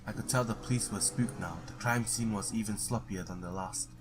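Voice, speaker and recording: A man's voice: on the low side, fairly quick. A man doing a let's play, reading visual novel text aloud, stumbling now and then and blurting his own reactions.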